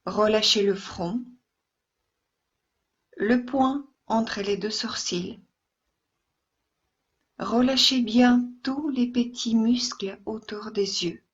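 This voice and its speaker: A slightly high-voiced woman leads a guided relaxation as a yoga instructor. She speaks very slowly and gently, leaving long pauses, with a soft, breathy tone. The recording is a little dull and muffled.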